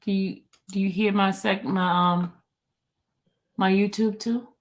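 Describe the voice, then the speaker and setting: A woman hosting a casual livestream chat, reading viewer comments aloud in an animated way. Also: mid-range voice, unhurried. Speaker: livestream host.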